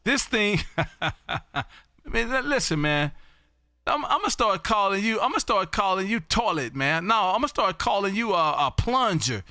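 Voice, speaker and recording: A man hosting a live stream from his home radio room, folksy, loud and repetitive as he calls out call signs on air. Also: sits high, big pitch swings, normal speed, no echo, studio quality. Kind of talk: casual on-air radio chat.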